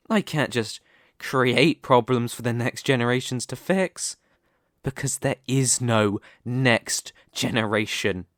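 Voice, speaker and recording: A man performing an arrogant, domineering immortal demon king in an ASMR roleplay, speaking deliberately with condescending menace. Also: mid-range voice, fairly bright tone, no echo, clean studio sound. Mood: scornful, commanding.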